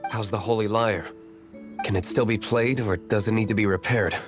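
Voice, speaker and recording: Cool aristocratic vigilante for a game, male actor: deep, curt, dry and guarded. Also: steady tone, moderate pace, lightly acted. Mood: cool, resolute. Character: cool, brooding aristocratic young man, aloof vigilante. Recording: music behind the voice.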